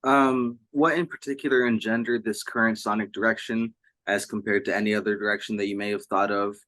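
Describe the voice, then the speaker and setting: A man's voice: on the low side, fairly even intonation, fairly quick, a bit bright, no echo. A man interviewing someone casually and reading out fan questions.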